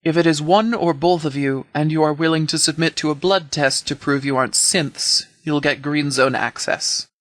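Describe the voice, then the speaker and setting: A man voicing a stern, authoritative sheriff in a video game, speaking in a measured, commanding, formal way. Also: on the high side, steady tone, fairly bright tone, dry recording, clean studio sound, natural voice. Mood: firm, formal. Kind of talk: scripted game dialogue.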